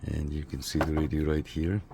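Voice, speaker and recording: A man's voice: extremely low pitch, level tone, brisk. A man from Canada talking casually as he reviews and operates radio gear, often repeating short phrases. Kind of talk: casual hands-on product review. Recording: clear background noise.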